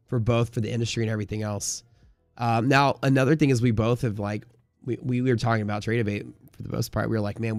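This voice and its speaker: A man's voice: fairly low pitch, no room sound. A man hosting a livestream in casual, slangy, emphatic conversation, answering viewers and giving his opinions. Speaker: livestream host and trading community leader.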